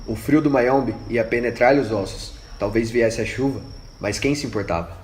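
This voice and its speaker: A man's voice: medium pitch, normal speed, darkish tone. A man reading scripted narration for an amateur radio drama. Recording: echoey room with faint noise.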